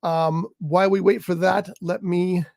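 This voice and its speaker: A man hosting a casual showbiz talk show, rambling enthusiastically about a movie, his voice croaky today. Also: sits high, flat delivery, unhurried, darkish tone, no room sound, studio quality. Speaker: show host. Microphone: studio mic on a live stream.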